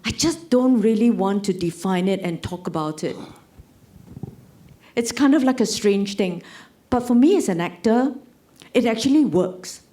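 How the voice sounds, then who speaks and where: A woman's voice: mid-range voice, expressive, measured pace, pauses often, dark tone. A woman, an actor speaking on a panel, chatty and laughing, with many fillers. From Singapore. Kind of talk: panel discussion.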